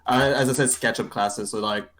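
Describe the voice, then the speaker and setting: A man's voice: mid-range voice, fairly even intonation, brisk, noisy background. A young man from Quebec, a streamer appearing as a guest, chatting in a laid-back, self-deprecating, rambling way. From Quebec City, Canada. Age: young adult. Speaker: talk show guest, gaming streamer. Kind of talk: casual chat.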